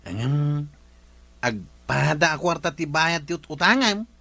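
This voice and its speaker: Man acting a forceful, tight-fisted village vendor. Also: on the high side, lively intonation, unhurried, gravelly, heavily acted. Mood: exasperated. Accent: Ilocano. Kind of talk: Ilocano comedy drama acting.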